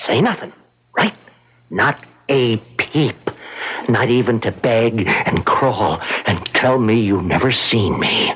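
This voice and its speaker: A vicious killer from a radio crime play. His talk is slow and threatening, in rough street grammar, and his pitch moves dramatically. There is faint noise on the recording. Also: lively intonation, a bit bright, highs cut off. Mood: menacing, commanding. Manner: menacing, rough uneducated grammar. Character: vicious, sadistic male killer, menacing street thug.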